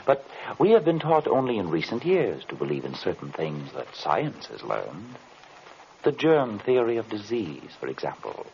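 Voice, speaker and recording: An actor playing a mysterious, courtly occult investigator, measured and theatrical, in old radio drama style. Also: male voice, fairly low pitch, wildly swooping pitch, a little mellow. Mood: grave, knowing. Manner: measured, theatrical, rhetorical and formal. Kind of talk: 1930s-40s radio drama acting. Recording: muffled, hissy old radio recording.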